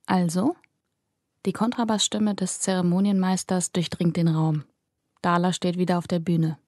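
A woman's voice: lowish voice, flat delivery, moderate pace, a little mellow, no echo. A woman narrating a fantasy audiobook in a close, inward, present-tense storytelling manner.

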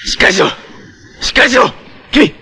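A man's voice: very high voice, big pitch swings, a little fast, bright, forceful tone, slightly rough, full character voice. A young man acting as a fierce, earnest jungle-raised superhero in a 1970s Japanese television action drama, intense and terse. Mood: urgent, angry. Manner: intense, terse, breaking into battle cries. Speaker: lead actor in a superhero television series. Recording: 1970s television soundtrack with music. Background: dramatic background music.

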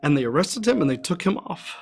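An anguished fisherman disciple , acted by a man confesses his guilt in a dramatic monologue. His voice sits somewhat high and he talks haltingly, like an ordinary guy, over a clearly audible music bed. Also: sing-song. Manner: halting, conversational, everyman delivery. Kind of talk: dramatic first-person monologue.

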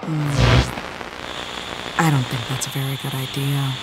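An actress playing a lonely, guarded young vampire hunter in an audio drama. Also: female voice, a bass voice, flat delivery, unhurried, loud music behind the voice, poor audio. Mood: sad, hesitant.

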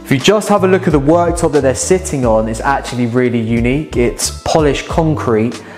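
A young man talks viewers through a house in a relaxed, enthusiastic way, pointing out features as he walks. His voice sits at an ordinary middle pitch. Faint music plays underneath. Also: fairly quick. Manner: upbeat, enthusiastic, conversational while walking. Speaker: house tour host. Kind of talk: casual walking house tour. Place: large house, indoors and garden.

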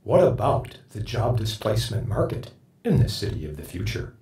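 A man's voice: on the low side, big pitch swings, normal speed, creaky, dark tone. A man speaking a sample sentence in a recorded clip. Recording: roomy, distant sample clip.

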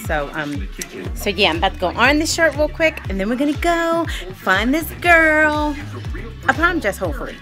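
A woman's voice: high-pitched, very animated, normal speed, bright, forceful tone, faint music behind. A woman, chatting upbeat to her viewers while she films on a phone at arm's length in her house and car. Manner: chatty and upbeat, addresses viewers as "you guys". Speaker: vlogger. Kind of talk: casual home vlog chat. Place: house rooms and a parked car. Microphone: handheld phone camera at arm's length.

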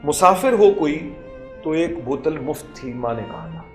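A middle-aged man reciting free verse at a podium microphone in a large hall, slow and measured with rising emphasis. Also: on the high side, lively intonation, soft background music. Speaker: poet. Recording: echoey hall.

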